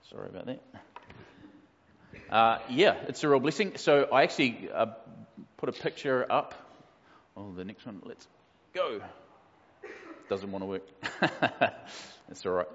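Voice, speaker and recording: A New Zealand man in his forties speaks as a pastor, in an easygoing, joking way with frequent fillers. His voice sits fairly low. The sound is dull and lo-fi, with long reverb from a church hall. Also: expressive, measured pace, long pauses, a little hiss or hum. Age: 40s. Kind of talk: casual church welcome talk.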